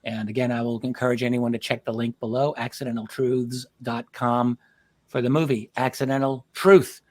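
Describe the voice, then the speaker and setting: A man hosting a conversational interview, with halting, measured phrasing. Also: medium pitch, little pitch movement, darkish tone. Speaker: talk show host, interviewer.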